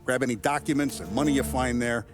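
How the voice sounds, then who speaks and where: A stern middle-aged mafia don voiced by a man in a dramatic game scene. He is fatherly but ruthless and speaks like someone used to being obeyed. Music plays clearly behind his mid-pitched voice. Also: natural voice. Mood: cold, commanding. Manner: deliberate, authoritative, giving orders. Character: stern male mob boss, paternal but ruthless, commanding. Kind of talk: dramatic video game acting.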